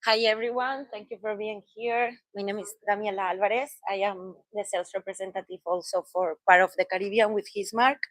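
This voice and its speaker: A woman's voice: medium pitch, steady tone, a little fast, few pauses, no room sound, poor audio. A woman sales representative briefly and politely introducing herself on a handheld mic.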